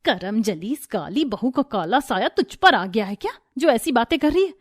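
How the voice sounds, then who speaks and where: A woman storyteller plays a bitter, domineering mother-in-law from a family drama storybook. Her voice is fairly high and close, with a cutting, accusing edge as she scolds the household. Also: sing-song, moderate pace, no room sound. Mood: angry.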